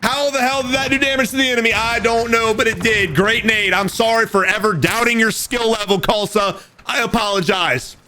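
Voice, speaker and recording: A man casually commenting over game footage, animated and profane, talking straight to his viewers, his voice going a little hoarse. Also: very high-pitched, brisk, noisy background. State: losing his voice. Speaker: gaming commentator.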